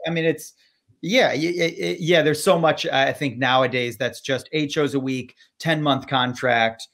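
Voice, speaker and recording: Host interviewing a guest over a video call, chatty, halting, agreeable. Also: mid-range voice, fairly bright tone. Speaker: tour company host. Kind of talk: casual chat.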